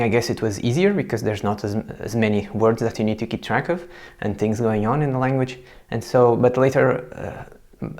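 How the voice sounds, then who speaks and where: Gravelly young man. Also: mid-range voice, darkish tone. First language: Portuguese. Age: young adult. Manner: hesitant, many fillers and restarts. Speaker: language learner and video host. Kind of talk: casual explainer to camera. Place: small room indoors.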